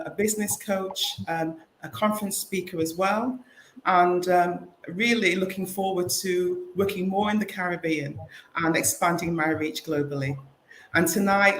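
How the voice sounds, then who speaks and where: A woman business consultant introducing herself on an online panel, relaxed and with frequent ums and uhs. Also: on the low side, echoey room, slight background noise, low-quality recording.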